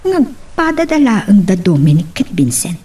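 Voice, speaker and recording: An actress with an Ilocano accent playing a sharp, teasing married boutique owner in a theatrical, animated radio drama style. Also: female voice, medium pitch, moderate pace, slight background noise, natural voice. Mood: scolding, exasperated.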